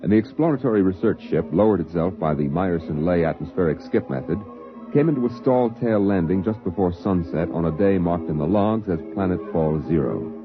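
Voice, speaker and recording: A man's voice: deep voice, lively intonation, brisk. A man giving formal, official-sounding scripted narration for a 1950s radio science fiction drama. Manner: official, documentary-like. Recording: dull vintage 1950s radio recording. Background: dramatic music.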